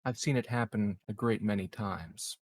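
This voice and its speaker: A man playing a measured, calculating and discreet corporate executive in a roleplaying game, speaking formally with long deliberate pauses. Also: lowish voice, breathy edge, dry recording, clean studio sound. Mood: knowing, reserved. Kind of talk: improvised in-character roleplay dialogue.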